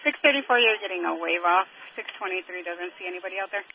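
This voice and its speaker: The sound is a thin, phone-like scanner feed with clear static and clicks. A man with a high voice speaks as a police dispatcher. He passes along information in procedural radio phrasing. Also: fast. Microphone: two-way radio heard over a scanner.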